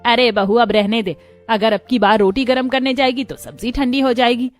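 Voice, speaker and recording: A woman's voice: on the high side, steady tone, normal speed, faint music behind, natural voice. A woman playing a fussy, complaining female relative in a moral story. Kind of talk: acted dialogue in a moral story.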